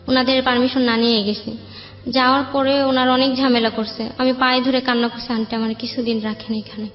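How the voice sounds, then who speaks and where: A young woman with a Bangladeshi accent recounts her life in a colloquial, rambling way as an interview guest. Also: fairly high pitch. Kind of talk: personal storytelling in an interview. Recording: dull roomy sound, background music.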